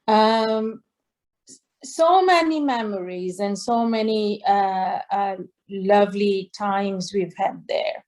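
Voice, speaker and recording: Slow, hesitant middle-aged woman. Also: medium pitch, darkish tone. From Tanzania. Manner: slow, hesitant, many fillers and restarts. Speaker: guest singer. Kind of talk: interview over video call. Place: indoor home room. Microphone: video call.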